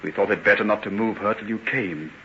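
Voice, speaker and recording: Low, intense gentleman doctor. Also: brisk. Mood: grave, consoling. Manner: earnest, polite, soothing but urgent. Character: concerned, courteous gentleman doctor, earnest and steadying. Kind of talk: old-time radio drama acting. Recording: dull, hissy old radio recording.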